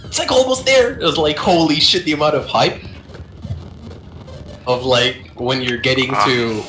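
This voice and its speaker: A man's voice: fairly high pitch, normal speed, reverberant, over music. A young man chatting casually about old online games, rambling, swearing and laughing often. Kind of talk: casual gaming chat.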